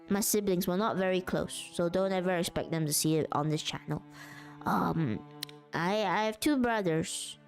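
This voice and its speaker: A woman livestreaming relaxed crafting chat, rambling, losing her thread and laughing often. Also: on the low side. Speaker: streamer.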